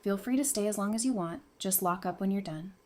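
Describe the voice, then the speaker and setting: A woman's voice: medium pitch, a little fast, a little mellow, close to an everyday voice. A woman playing a friendly, knowledgeable member of a survivor community in an audio drama, speaking in an easygoing, explanatory way. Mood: reassuring, easygoing.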